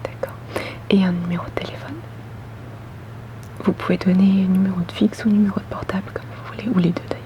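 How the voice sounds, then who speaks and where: A woman whispering softly and breathily in an ASMR roleplay as a polite, attentive boutique saleswoman, gentle and unhurried. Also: medium pitch, level tone, highs cut off. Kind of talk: ASMR roleplay, customer service.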